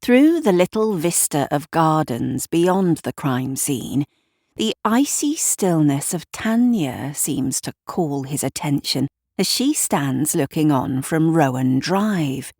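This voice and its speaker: A woman reads scripted story narration in a slow, somewhat low voice. She slips into wry, conversational asides as she tells the tale. The sound is dry, with little room around it. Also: very animated, darkish tone, no echo. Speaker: writer and narrator of audio drama.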